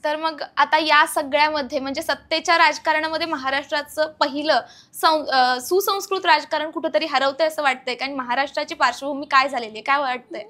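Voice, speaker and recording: A woman's voice: sits high, fairly even intonation, fairly quick, sharp tone. A woman interviewing a guest on a podcast, putting conversational questions about politics.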